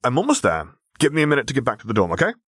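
An exasperated young man played by a male narrator, urgent and protective, barking at his friend in an audio story. His voice is raised from a deep base, with a dry, close sound. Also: huge pitch swings, racing, a bit bright, slightly rough, dry recording, heavily acted. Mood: hurried.

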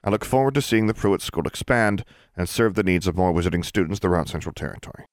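A man's voice: low-pitched, lively intonation, quick pace, no echo, clean studio sound. A man voicing a composed young witch benefactor who speaks in a cool, firm, dryly sarcastic way.

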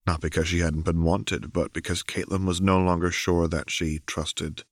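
A man with a low voice narrates a dramatic piece of fiction. The sound is close and dry, in the style of a scripted audiobook reading. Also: level tone, moderate pace, no echo.

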